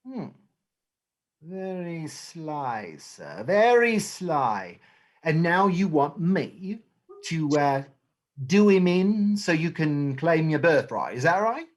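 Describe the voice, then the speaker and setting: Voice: mid-range voice, very animated, slow. An actor playing a sly, menacingly courteous male crime boss in a stage play reading, theatrical and mock-polite. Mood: oily, calculating.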